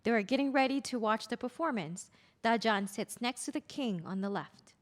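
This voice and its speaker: Female narrator for a play reading: scripted stage directions, higher-than-average pitch. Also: lively intonation, fairly quick.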